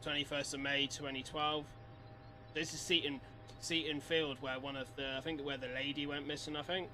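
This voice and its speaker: A man's voice: a little high, little pitch movement, normal speed, bright, forceful tone, slight background noise. A man giving a casual live-stream reaction, reading things aloud and commenting as he goes. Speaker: streamer reacting to horror videos.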